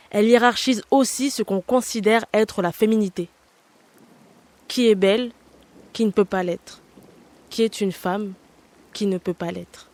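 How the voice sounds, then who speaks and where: A woman in her twenties narrates a personal, scripted podcast essay. Her delivery is measured and reflective, unfolding in short phrases at a medium pitch. The sound is very dry, with no room around the voice. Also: a little mellow, no echo. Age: 20s.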